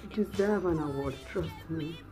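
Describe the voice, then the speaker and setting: A woman with a Nigerian accent playing a betrayed, heartbroken wife in a dramatic monologue, speaking deliberately with heavy pauses. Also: low-pitched, music in the background, lightly acted. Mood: wounded, weary. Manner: deliberate, heavy pauses, swinging from hushed to anguished. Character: betrayed, heartbroken wife confronting her deceitful bigamist husband. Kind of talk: dramatic solo acting monologue.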